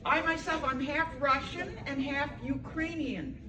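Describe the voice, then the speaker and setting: A woman's voice: high voice, fairly even intonation, unhurried. Older New woman doing stand-up. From Brooklyn, New York. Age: 70s. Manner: chatty, self-deprecating, banters with the audience. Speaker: stand-up comedian. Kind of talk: stand-up comedy set. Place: senior center hall. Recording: echoey hall, from far off, loud crowd. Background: audience reactions and room noise.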